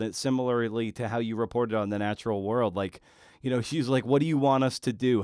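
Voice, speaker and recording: A man's voice: medium pitch, darkish tone, clear, resonant tone, no echo, studio quality. A man hosting a podcast, talking casually in an informal, slangy and effusive way. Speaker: podcast host and interviewer. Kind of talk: casual podcast chat and monologue.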